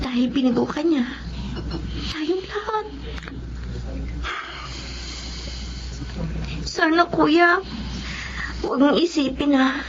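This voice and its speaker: A woman's voice: high-pitched, a little slow. A woman in a Filipino radio drama playing a caring, anxious schoolgirl sister with a plaintive, tender delivery. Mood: tender, pleading. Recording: muffled audio with loud background noise.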